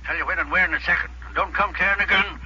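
An actor playing a threatening killer in a 1950s radio drama, giving terse orders through a telephone filter. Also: male voice, high-pitched, steady tone, rapid-fire, very noisy. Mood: threatening, commanding. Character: menacing male gunslinger killer phoning in threats. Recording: band-limited 1950s radio recording, telephone-like sound.

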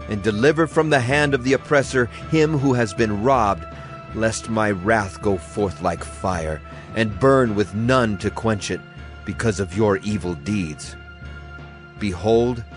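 An actor playing a stern prophet pronouncing divine judgment, solemn and declamatory, in a dramatized scripture reading. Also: male voice, fairly low pitch. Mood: stern warning. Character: stern male Hebrew prophet pronouncing God's wrathful judgment.

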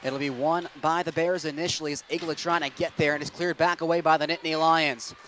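A young man calling ice hockey play-by-play with rapid, steady narration that rises to excited shouts on goals, on a headset mic in an arena booth. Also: fairly high pitch, a little hiss or hum. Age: young adult. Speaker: play-by-play announcer.

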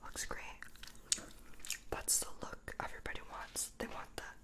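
Whispering young woman, ASMR roleplay. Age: 20s. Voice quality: breathy, unvoiced whisper. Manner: nonchalant, rambling, halting. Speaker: ASMR performer role-playing a makeup artist. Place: small room at home, indoors. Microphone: close mic, facing camera.

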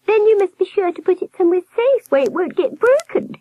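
A woman voicing a huge storybook nurse who speaks in a high, warbling, gushing and falsely sweet voice. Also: very animated, brisk, no echo, phone-quality sound. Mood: sweetly probing. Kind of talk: audiobook character voice.

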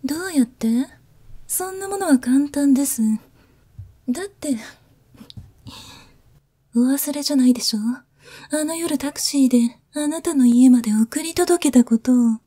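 A woman voicing a sly, sadistic chief flight attendant in a Japanese ASMR voice drama, courteous with a hushed menace. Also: sits high. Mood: sly, menacing. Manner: hushed, slow menace under courteous speech.

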